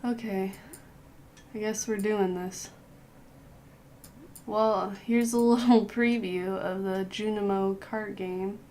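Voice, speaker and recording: A young woman, hoarse from a cold, giving a casual game guide voice-over and often clearing her throat. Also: medium pitch, steady tone, takes it slowly, long pauses, warm tone, a little hiss or hum. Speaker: gaming youtuber.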